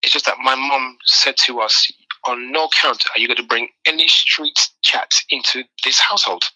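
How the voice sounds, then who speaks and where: A man's voice: medium pitch, normal speed, pushed and bright, no room sound, rough recording. A man from Nigeria, a guest in an interview, telling his story animatedly and often repeating words while he gathers his thoughts. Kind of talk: conversational interview.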